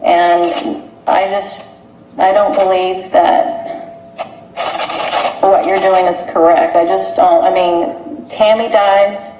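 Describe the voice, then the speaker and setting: A woman's voice: mid-range voice, warm tone. A woman speaking hesitantly in a serious private conversation on a recorded phone call. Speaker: phone caller questioning a friend. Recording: muffled, echoey, noisy recorded phone call.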